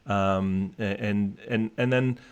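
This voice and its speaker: A man's voice: on the low side, fairly even intonation, takes it slowly, clear, resonant tone, dull audio. A man working as a coach, talking in a casual conversational discussion, reflective and full of restarts and fillers. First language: English.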